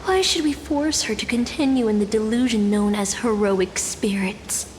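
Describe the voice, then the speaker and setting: A woman voicing a solemn antagonist in a game, speaking in a measured, theatrical and deliberate way. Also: medium pitch, lightly acted. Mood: solemn, pitying. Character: woman antagonist, solemn, set on stripping heroes of glory. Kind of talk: dramatic scripted game dialogue. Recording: long hall reverb, steady hiss.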